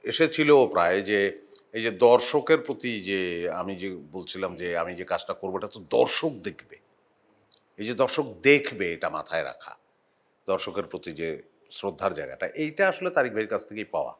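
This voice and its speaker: Middle aged guy chatting on a talk show, kinda low voice, lots of ahs and pauses, trails off quiet. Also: very animated. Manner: reflective, halting with frequent "ah" pauses. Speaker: actor, talk show guest. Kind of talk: casual interview chat.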